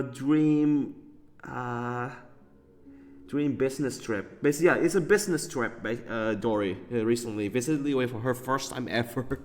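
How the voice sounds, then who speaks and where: A man giving casual gameplay commentary as a streamer, rambling with many fillers and chuckles. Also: on the high side. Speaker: gaming streamer.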